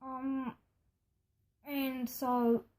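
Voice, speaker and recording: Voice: high voice, level tone, drawn-out words, a little mellow. A young person telling stories in a casual vlog style, rambling and indignant, acting out what other people said. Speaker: video storyteller. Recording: music behind the voice. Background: music.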